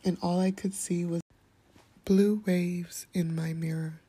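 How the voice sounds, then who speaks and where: A calm, soft-spoken woman hosting a podcast, sharing a reflective personal monologue in a hushed, whispery way. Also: lowish voice, slow, slightly rough, dry recording. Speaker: podcast host.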